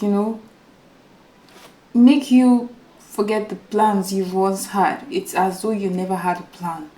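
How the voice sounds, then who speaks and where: A woman with a small, soft voice speaks earnestly to her viewers, circling back over her points. Her tone is dark and mellow. The room around her rings with echo. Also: medium pitch. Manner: earnest and repetitive, addresses viewers as guys. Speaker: YouTuber. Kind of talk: motivational talk to camera. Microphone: new microphone without full setup.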